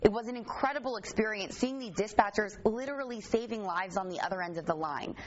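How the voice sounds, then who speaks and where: A woman's voice: mid-range voice, fast. An American woman reporter introduces a story in a mid-range voice. The broadcast audio is slightly dull. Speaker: TV news reporter.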